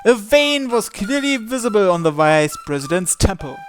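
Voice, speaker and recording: A game narrator translating and reading visual novel text aloud, with some hesitant pauses. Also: medium pitch, sing-song, a little hiss or hum. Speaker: video game playthrough narrator. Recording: game music behind the voice. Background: visual novel music and Japanese voice acting.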